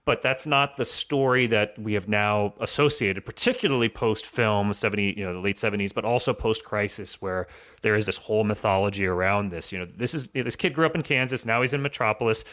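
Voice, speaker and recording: Bright, forceful lowish-voiced man. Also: brisk. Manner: explanatory, often rephrasing with "you know". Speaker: podcast guest. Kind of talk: analytical conversational commentary.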